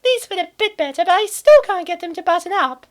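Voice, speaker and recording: A woman's voice: very high voice, expressive, fast. A woman playing a naive young college woman in a comic dub, narrating and exclaiming theatrically. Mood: exasperated. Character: cheerful naive college woman narrating her weight gain.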